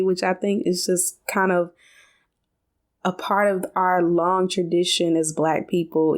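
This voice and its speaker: A woman co-hosting a podcast, telling stories in a casual, conversational way with colloquial asides. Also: on the low side. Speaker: podcast co-host.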